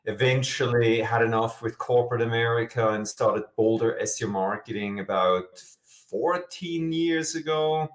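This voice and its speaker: A man's voice: medium pitch, normal speed, reverberant. A middle-aged man from Switzerland, a guest explaining his work in an interview with eager, filler-dotted answers. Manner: enthusiastic, explanatory, with frequent "uh" fillers. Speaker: guest, SEO expert.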